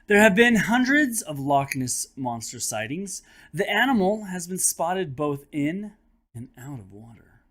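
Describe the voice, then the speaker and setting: A man reads a children's book aloud as a teacher and host would. His voice sits a little high and swings widely in pitch. The delivery is dramatic and expressive, with conversational asides to young listeners. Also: a little slow.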